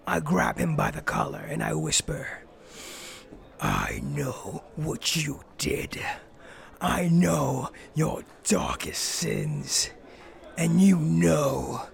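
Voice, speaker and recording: A man playing a self-styled dark vigilante in a comedic fantasy audio drama, grandiose and absurdly earnest, with a gravelly, often whispery voice and a pompous, portentous delivery. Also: rumbling low, wildly swooping pitch, full character voice. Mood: intense, menacing. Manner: slow, pompous, theatrically portentous.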